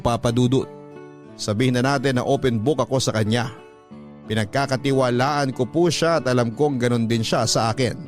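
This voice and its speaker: A man with a Filipino accent narrating a sentimental letter in a radio storytelling style. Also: mid-range voice. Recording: music under the voice.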